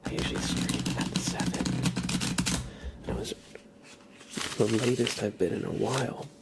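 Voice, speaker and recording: A man doing a live ASMR stream, chatting casually with viewers in a soft, breathy whisper and rambling conversationally. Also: mid-range voice, fairly even intonation, measured pace, noisy background. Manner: rambling, conversational, addresses chat by name.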